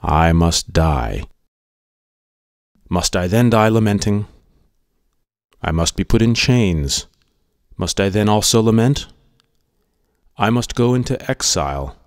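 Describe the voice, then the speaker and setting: A man reading philosophy as a scripted dramatic monologue, in a measured, deliberate manner. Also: on the low side, lively intonation. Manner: measured, deliberate delivery in a radio monologue style. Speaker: audiobook reader. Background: music.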